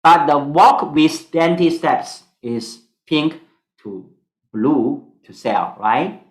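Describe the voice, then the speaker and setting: Singaporean guy, mandarin first language, slow halting english lecture, lots of uhs, roomy echo. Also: a little high, animated. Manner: halting, many fillers, broken English. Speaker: stock analysis teacher. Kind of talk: online class lecture.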